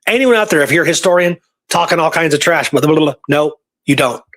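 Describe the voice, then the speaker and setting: Male co-host, higher register, casual and emphatic history talk, dry recording. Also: expressive, fast, fairly bright tone, no room sound. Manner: emphatic, repeats points for stress. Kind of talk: casual conversational history discussion.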